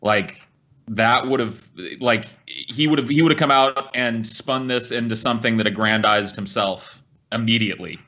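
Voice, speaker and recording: A man's voice: mid-range voice, unhurried, bright, forceful tone, dull audio. An American man co-hosting a podcast with casual, blunt, sweary political banter. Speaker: podcast co-host.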